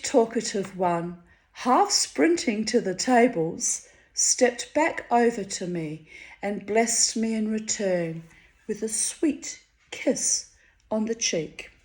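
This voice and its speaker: A Hungarian-born woman reading her own diary entries aloud, wry and theatrical, her voice swinging high and low for effect. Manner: wry, theatrical, dramatic swings of pitch and loudness.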